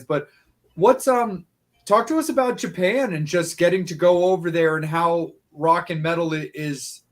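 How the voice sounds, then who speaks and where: Man, podcast host, slightly high voice, rambling casual questions. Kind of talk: casual interview hosting.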